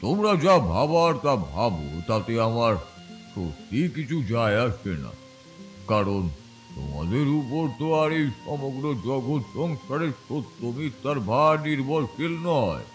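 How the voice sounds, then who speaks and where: A man acting in an audio story as a proud, wandering tantric elder who tells of his past with emphatic, measured authority. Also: mid-range voice, sing-song, faint music behind. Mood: stern, assertive.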